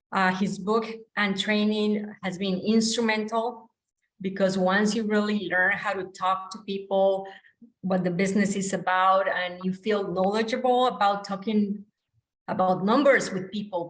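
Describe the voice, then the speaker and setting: Woman; earnest, light laughs; echoey room on a call. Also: mid-range voice. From Costa Rica. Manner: earnest, laughs between phrases. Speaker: guest sharing her story.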